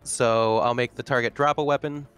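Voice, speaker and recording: A man's voice: medium pitch, steady tone, normal speed, fairly bright tone, clear, resonant tone, faint music behind. A man chatting casually as a player in a tabletop game, thinking his moves through aloud.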